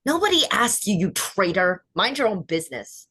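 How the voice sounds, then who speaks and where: A husky, lively voice for a cutthroat pageant contestant acted by a woman. She is young, sarcastic and confrontational, snapping at rivals in a table-read horror script. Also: medium pitch, moderate pace. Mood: hostile. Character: combative, cutthroat young female beauty queen. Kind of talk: screenplay table read acting.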